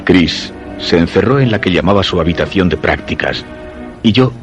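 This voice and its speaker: An actor with a Castilian Spanish accent playing a weak, fearful husband who narrates a suspense radio drama in a measured, solemn way. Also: male voice, low voice, expressive. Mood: solemn, uneasy. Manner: measured, literary, solemn storytelling. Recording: voice over background music.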